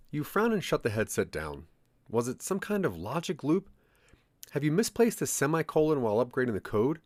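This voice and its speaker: A man's voice: medium pitch, no room sound. A man narrating a scripted audio drama in the second person.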